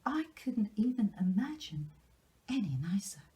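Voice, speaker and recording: An excitable, dreamy young girl from a storybook, played by a woman reader. Her voice lifts well above the reader's own and often turns breathy. She speaks in effusive, gushing exclamations, with a soft, mellow tone. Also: medium pitch, unhurried, voice pushed up. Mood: bubbly excitement.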